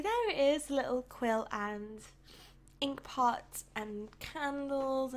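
A young woman from the UK with a croaky, tired voice, chatting casually and rambling as a YouTuber over her game build. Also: on the high side. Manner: rambling, chatty, with soft laughs.

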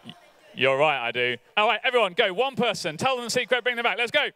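A man in his forties giving an energetic all-age church talk on a hall stage, coaxing volunteers into a game. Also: high-pitched, fast talker, clean tone, a faint crowd. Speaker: church leader. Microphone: handheld mic.

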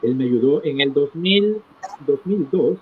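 A middle-aged man recounting his life as an interview guest, in a halting manner, repeating phrases for emphasis. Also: fairly high pitch, lively intonation. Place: small indoor room, video call. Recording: tinny phone-like call with clear noise.

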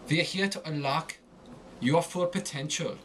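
A man giving a scripted lesson in a deliberate, theatrical way with emphatic enunciation. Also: fairly high pitch, a bit bright, slight background noise. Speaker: accent coach. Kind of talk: scripted accent lesson with example monologue.

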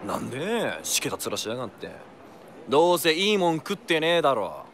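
A brash monk in a radio drama. He is gruffly friendly and teasing in rough speech, with very lively intonation and a slightly high voice. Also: normal speed.

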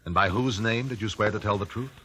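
A probing, formal gentleman lawyer, played by a male actor in an old radio drama. His lowish voice is crisp and forceful with lively inflection. The vintage recording has faint noise. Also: natural voice. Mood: pressing. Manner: measured, formal, pressing. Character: probing, composed gentleman lawyer questioning witnesses. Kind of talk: 1950s radio drama acting.

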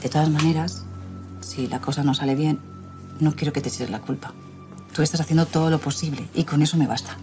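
An actress in her forties playing a spirited Spanish woman in prison who speaks in a quick, earnest, candid way. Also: deep voice, steady tone, music in the background, close to an everyday voice. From Spain. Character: spirited Spanish woman jailed for a crime she denies. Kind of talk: television drama acting. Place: small office-like prison visiting room, indoors.

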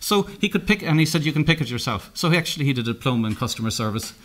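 A middle-aged Irish man giving a conference keynote, telling anecdotes with lots of halting restarts and self-corrections. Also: mid-range voice, lively intonation, very noisy. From Ireland. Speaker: keynote speaker. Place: conference room, indoors.

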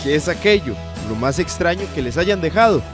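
Lively higher-voiced Costa Rican man reporting. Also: brisk. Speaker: television reporter. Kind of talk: scripted feature narration. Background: background music.

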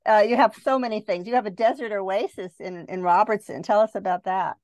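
A woman's voice: mid-range voice, a little fast, darkish tone, no echo. A woman hosting a travel podcast, interviewing a guest in a conversational way.

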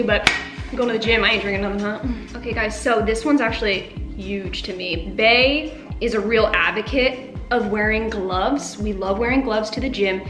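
A woman's voice: a little high, echoey room. A young woman in her twenties chatting to camera in a bubbly, slangy way about what she brings to the gym. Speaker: fitness vlogger. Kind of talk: casual chatty product rundown. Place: bright indoor home kitchen.

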